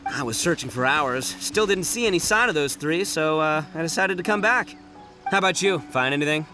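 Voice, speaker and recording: An actor voicing a brash, cocky, dim-witted young gang leader in a video game, informal and boastful. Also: male voice, a little high, lively intonation, moderate pace, bright, forceful tone. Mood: casual. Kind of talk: English video game voice acting. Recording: game music behind the voice.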